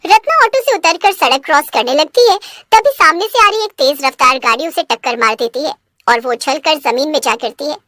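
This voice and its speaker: A woman's voice: high-pitched, lively intonation, fairly quick, pushed and bright, no room sound, clean studio sound. A woman reading scripted narration for a cartoon story.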